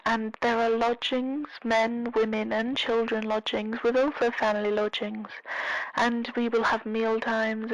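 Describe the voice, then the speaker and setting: A woman playing a solemn, formal announcer on a recorded welcome message for a fantasy sanctuary, speaking in a measured, serene manner. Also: on the high side, no echo, low-quality recording.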